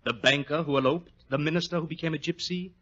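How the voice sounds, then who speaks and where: Sharp-voiced, gloating villain. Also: male voice, on the high side, fairly even intonation, fast. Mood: menacing, boastful. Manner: mock-courteous, theatrical. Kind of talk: 1940s radio acting. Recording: muffled vintage radio recording.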